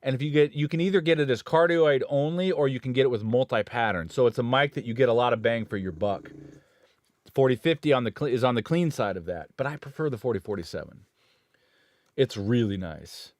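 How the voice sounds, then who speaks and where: Male studio engineer co-host, mid-pitched, rambling and enthusiastic, dry close sound. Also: expressive, a little fast, darkish tone, no echo. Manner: rambling, self-correcting, enthusiastic about gear. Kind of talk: casual live-stream gear chat.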